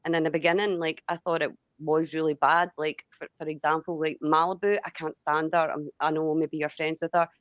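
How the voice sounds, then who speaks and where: A woman's voice: sits low, level tone, brisk, few pauses, dry recording. Dull-sounding sweary Scottish woman. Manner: sweary, blunt, rambling. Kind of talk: casual heated venting chat.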